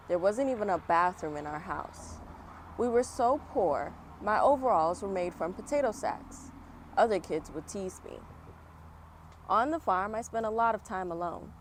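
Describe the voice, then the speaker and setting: A woman reading a children's picture book aloud with chatty, encouraging asides to young viewers, recorded outdoors. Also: fairly low pitch. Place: outdoors on a fall day. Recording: outdoor background noise, passing vehicles. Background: outdoor ambience, traffic.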